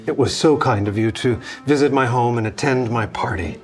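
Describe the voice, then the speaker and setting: A man's voice: mid-range voice, moderate pace, faint music behind, natural voice. A man voicing a nervous, fawning old baron in an audio drama. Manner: fawning, flustered.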